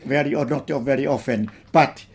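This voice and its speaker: An older man from Tonga, recalling his childhood in a slow, plain way during an oral history interview. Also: medium pitch, fairly even intonation. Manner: slow, plain reminiscing with simple phrasing.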